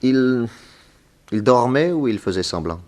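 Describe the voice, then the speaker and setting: An actor playing a calm, methodical detective in a 1960s French radio drama, probing with deliberate courtesy. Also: male voice, medium pitch, lightly acted. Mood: reasoning. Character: calm, methodical male detective questioning a witness.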